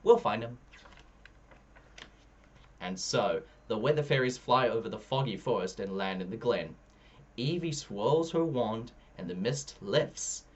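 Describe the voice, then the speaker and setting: A man reading a children's story aloud expressively, shifting his pitch between narration and dialogue. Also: expressive, unhurried, highs cut off. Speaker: storybook reader.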